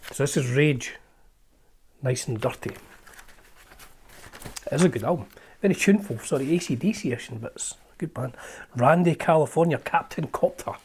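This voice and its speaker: A man's voice: on the high side, animated, normal speed, long pauses, a little mellow, a little hiss or hum. Chatty Scottish man rambling over old magazines. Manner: rambling and chatty, with frequent ums and restarts. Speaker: host. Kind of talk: casual chat, leafing through an old magazine.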